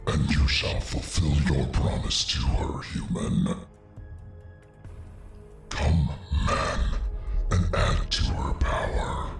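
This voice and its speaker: An ancient lich of terrible power speaks in an extremely deep, gravelly voice. Every word is slow and commanding. It sounds distant and echoey over loud music. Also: male voice, fairly even intonation, full character voice. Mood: menacing. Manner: commanding, menacing. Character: ancient evil translucent lich of the highest order.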